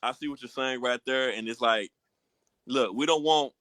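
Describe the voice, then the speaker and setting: A man co-hosting a podcast in casual chat, halting and a bit defensive, using slang. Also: mid-range voice, fairly bright tone, no room sound, studio quality. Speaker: podcast co-host. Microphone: external mic with a phone.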